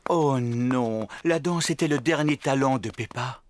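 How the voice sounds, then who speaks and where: An actor narrating a preschool cartoon in clear, simple storytelling phrases. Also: male voice, medium pitch, unhurried, natural voice. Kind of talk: scripted narration for young children. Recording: music behind the voice.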